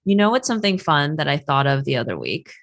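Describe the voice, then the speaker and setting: A woman whose first language is Chinese, hosting a casual interview and telling stories in an animated way, with many "like" fillers. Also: low voice, fast. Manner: animated storyteller, quotes people in lively voices. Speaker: host, career astrologer.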